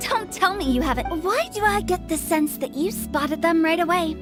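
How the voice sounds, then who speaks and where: A woman voicing a cheeky, excitable young funeral director girl in an anime-style game, teasing and theatrical. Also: very high voice, normal speed, music in the background. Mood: teasing, suspicious.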